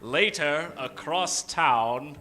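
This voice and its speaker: A man narrating an improvised comedy in old-time radio melodrama style, with dramatic, deliberate pauses. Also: a little high, over music.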